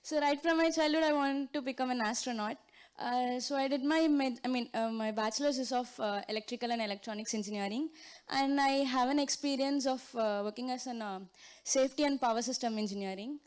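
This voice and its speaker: A woman's voice: sits high, a little fast, clear, resonant tone. A woman introducing herself as an incoming student, hesitant and full of fillers. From India. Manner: hesitant, many fillers and restarts. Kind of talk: short self-introduction at a ceremony.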